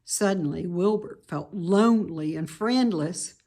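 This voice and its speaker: A woman reading a children's story aloud as narrator, with deliberate, dramatic emphasis. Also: on the low side, mellow. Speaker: storybook reader.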